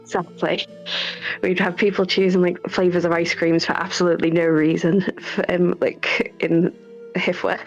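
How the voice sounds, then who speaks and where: Lower-pitched woman, gravelly, over music. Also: flat delivery, normal speed, muffled recording. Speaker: game developer. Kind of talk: casual interview answers.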